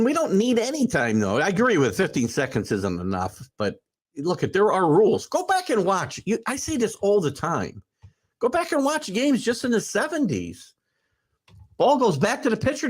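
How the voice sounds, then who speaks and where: Rambling, animated man in his sixties. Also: high-pitched, normal speed. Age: 60s. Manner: rambling, opinionated, many fillers and restarts; animated, rising into emphatic rants. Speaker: sports talk show host. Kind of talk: livestream chat reading viewer comments.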